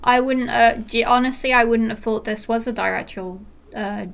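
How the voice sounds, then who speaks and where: A woman's voice: a little high, steady tone, normal speed, gravelly. A young woman with a faint Mancunian accent, co-hosting a casual film podcast and rambling with lots of fillers. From Manchester, England. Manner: chatty, rambling, with frequent fillers and laughs. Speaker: podcast co-host.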